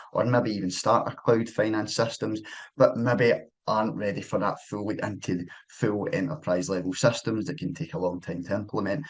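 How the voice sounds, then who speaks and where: Scottish account manager with a slightly low, husky voice, chatty presenter. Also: male voice. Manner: loose and conversational, with many fillers and restarts. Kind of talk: casual presentation.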